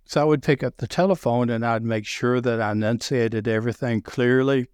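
A middle-aged man with a deep Southern accent, talking loudly and telling sales stories with many restarts. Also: fairly quick, clean tone, no echo, studio quality. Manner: loud, animated storyteller with frequent restarts. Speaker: sales podcast guest. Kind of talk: casual conversation.

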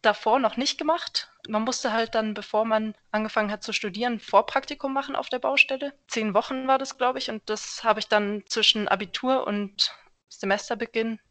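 A young woman from Germany, a civil engineer answering interview questions in a relaxed, thoughtful way with many small fillers. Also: a little high, normal speed. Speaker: podcast guest, civil engineer.

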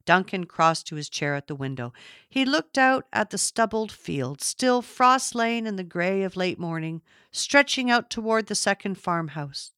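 A woman's voice: mid-range voice, animated, unhurried, fairly bright tone, clear, resonant tone, dry recording, clean studio sound. A woman narrating a literary story from a script. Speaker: audiobook narrator.

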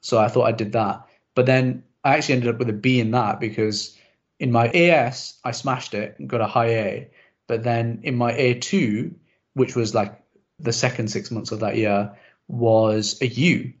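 A young man whose first language is Urdu, hosting a casual interview chat and talking in a quick, self-deprecating way with lots of asides. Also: medium pitch, steady tone. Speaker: podcast host. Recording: slightly dull lo-fi audio.

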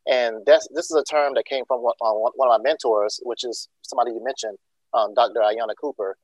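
A man from Georgia speaks as a school leader in an interview, explaining earnestly and filling pauses with ums and uhs. His voice sits mid-pitch for a man, and the recording is close and dry with no room sound. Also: fairly even intonation, no room sound, rough recording. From Georgia, USA. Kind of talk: interview answer.

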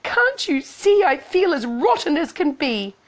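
A high, swooping young heroine of a comic storybook, acted by a woman. She is demanding and excitable, whining and exclaiming in theatrical style. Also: a little slow. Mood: jealous, demanding.

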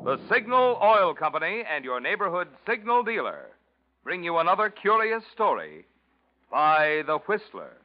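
A man's voice: high-pitched, big pitch swings, normal speed, soft background music. A male radio announcer reading a sponsor spot and show introduction in polished, emphatic 1940s style. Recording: dull lo-fi old radio recording.

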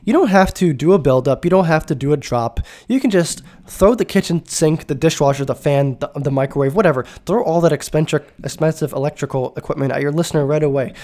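A man's voice: a little high, quick pace, few pauses, a little mellow. A man hosting a casual music podcast, sounding stuffy and nasal from a cold, talking enthusiastically with rambling asides and self-corrections. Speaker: podcast host.

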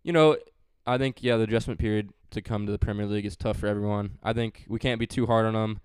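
Chatty man with a clear, dry voice. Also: fairly low pitch, steady tone, fast, a little mellow, dry recording. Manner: chatty, joking, with many fillers. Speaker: podcast host. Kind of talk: casual sports chat.

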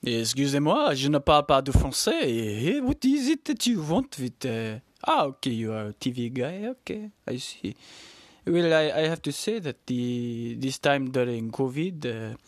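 A woman voicing a philosophical Frenchman with a thick French accent, halting and slipping into French. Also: rumbling low, big pitch swings, dry recording, voice pushed down, heavily acted. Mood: shrugging. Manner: halting, mixing French phrases. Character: shrugging middle-aged Frenchman, philosophical.

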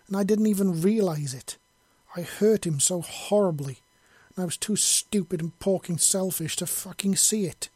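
A desperate female alien huntress in an audio story, acted by a man. The voice is pushed high and rough at the edges, loud and pleading with panic and guilt, in a dry, close recording. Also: no echo.

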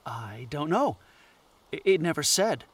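A man playing an earnest, scatterbrained anthropologist in an adventure audio drama, with a halting way of speaking. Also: on the high side, big pitch swings, husky, heavily acted.

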